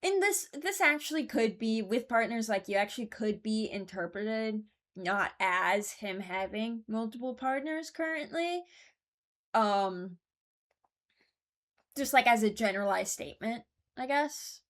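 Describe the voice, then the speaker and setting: A woman's voice: on the high side, lively intonation, a little slow, fairly bright tone. A woman giving casual, rambling commentary as a host, thinking aloud as she goes. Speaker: host ranking game voice lines.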